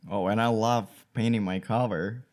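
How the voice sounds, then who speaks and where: A man's voice: fairly low pitch, steady tone, normal speed, darkish tone. A young man from Ukraine with a Ukrainian accent, chatting candidly and easily as a podcast guest. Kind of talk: casual chat.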